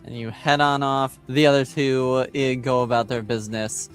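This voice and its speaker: A man running a tabletop game as game master, improvising narration in a halting, thinking-aloud way. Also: medium pitch, level tone, faint music behind.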